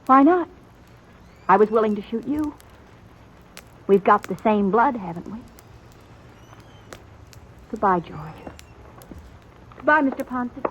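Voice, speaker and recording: Firm, high-voiced frontier woman. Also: lively intonation, slow pace, long pauses. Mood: bitter. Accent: American. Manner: firm, bitter, dramatic. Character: resolute frontier woman defying her brother. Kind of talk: 1950s radio western acting. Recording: muffled, noisy old radio recording.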